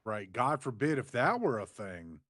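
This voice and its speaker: A man's voice: mid-range voice, big pitch swings, moderate pace, a bit bright, no room sound, clean studio sound. A man co-hosting a wrestling review, reading out news and backing up his co-host with short agreeable replies. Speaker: podcast co-host.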